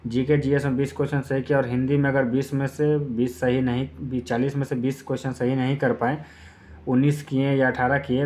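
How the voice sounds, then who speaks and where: A man's voice: medium pitch, a little mellow. A man gives an informal exam-prep explainer, going step by step with repeated points and filler phrases. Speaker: exam-prep YouTube presenter.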